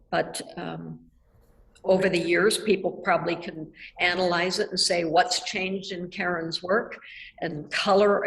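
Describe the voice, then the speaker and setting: Older woman, somewhat low pitch, reflective and measured, video call webcam. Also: gravelly, low-quality recording. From Nebraska, United States. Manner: reflective, measured, with soft laughs. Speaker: artist guest. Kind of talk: thoughtful conversational answers. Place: art gallery, indoors.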